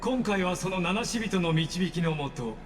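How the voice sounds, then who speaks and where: A man's voice: sits high, animated, a little fast. An actor playing a courteous, theatrical male narrator in a game, with formal, polite delivery. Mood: solemn, theatrical. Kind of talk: scripted Japanese game voice acting. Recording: long hall reverb over music.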